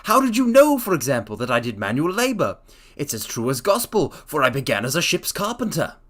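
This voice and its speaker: A man voicing an excitable middle-aged male tradesman who exclaims loudly and higher than his own voice. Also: a little fast. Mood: astonished. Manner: loud, astonished, exclaiming.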